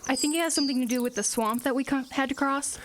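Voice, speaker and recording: A woman playing a wary, grumbling high school girl in a radio play, with a skeptical, questioning manner. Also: fast, slight background noise, close to an everyday voice. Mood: grumbling, uneasy.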